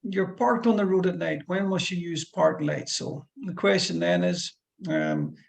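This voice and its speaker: A man's voice: high-pitched, expressive, a little slow, vocal fry. A middle-aged man with a Fife Scottish accent, a driving instructor explaining things in a chatty, rambling way with many restarts. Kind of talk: one-to-one lesson over a call.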